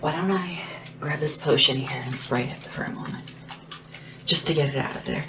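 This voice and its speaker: A woman playing a gentle, devoted young sleep assistant in a fantasy ASMR roleplay, hushed and breathy, soothing and attentive. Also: sits low, far from the mic, background noise, close to an everyday voice. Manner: soothing, attentive, earnest, unhurried. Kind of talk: soft-spoken and whispered ASMR roleplay.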